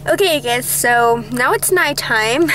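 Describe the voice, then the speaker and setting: Chatty high-voiced young woman vlogging. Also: slow. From Utah, USA. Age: young adult. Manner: chatty, lots of "like" and "um", excitable. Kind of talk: casual vlog chat. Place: in a car, then a bedroom. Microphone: handheld camera at arm's length.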